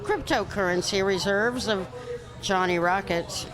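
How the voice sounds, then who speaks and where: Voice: mid-range voice, measured pace, music in the background, close to an everyday voice, poor audio. A performer playing a prim, haughty old lady in an amateur comic radio play, speaking in formal, wordy phrases. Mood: indignant. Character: prim old lady seeking her stolen dentures.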